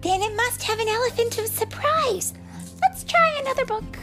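A woman's voice: very high voice, expressive, moderate pace, a bit bright, faint music behind, voice pushed up. A woman voicing an eager, cheeky young chicken child in a picture-book read-aloud, exclaiming and wheedling.

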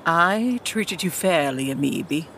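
Woman playing a slow-spoken, stately queen mother. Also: low voice, lively intonation, fairly bright tone, slight background noise, exaggerated voice. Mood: stern, dignified. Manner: formal, measured, regal. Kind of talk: scripted fantasy audio drama acting.

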